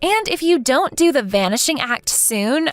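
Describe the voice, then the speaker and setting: A woman's voice: high-pitched, big pitch swings, measured pace, no room sound, faint music behind. Woman as gloating young mistress, sneering, condescending, swooping intonation, dry close sound, story drama. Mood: dismissive gloating. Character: smug, taunting young mistress sneering at her rival.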